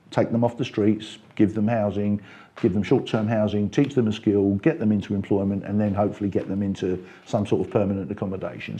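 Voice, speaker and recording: A man's voice: lowish voice, gravelly, warm tone, lo-fi sound. An older man from England, talking at length in a casual interview, with many restarts and fillers. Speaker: interviewee, party member.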